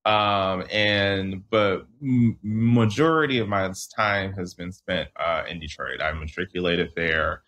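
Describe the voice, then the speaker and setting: Guest author, young man, deep voice, laid-back, restarts often, from Michigan. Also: takes it slowly, few pauses. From southeastern Michigan. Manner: relaxed, restarts often, laughs at himself. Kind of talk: casual interview talk.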